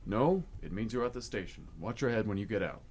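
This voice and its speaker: A man's voice: fairly low pitch, animated, fairly quick, a little mellow. A man acting in a comic radio play as a sardonic, unimpressed policeman, dry and sceptical in manner. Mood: dry, dismissive.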